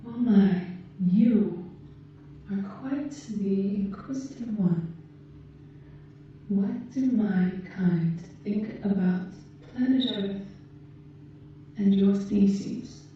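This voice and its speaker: A woman voicing a gentle, caring alien rescuer in an ASMR roleplay, speaking formally and precisely with deliberate pauses. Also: mid-range voice. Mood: calm, formal. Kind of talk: ASMR roleplay, slow soothing delivery. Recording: muffled, echoey, distant, faint noise.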